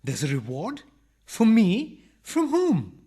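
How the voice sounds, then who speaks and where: High-pitched, animated, cheeky burglar played by a man. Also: slow pace, slightly rough, exaggerated voice. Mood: nervous, puzzled. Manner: protesting, polite, comically literal. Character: indignant, cheeky male burglar, married, comically literal. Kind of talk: farcical radio play acting.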